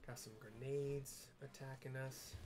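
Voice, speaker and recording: A man's voice: medium pitch, fairly even intonation, measured pace, over music. A man casually narrating a game and describing what happens on screen, in a playful way.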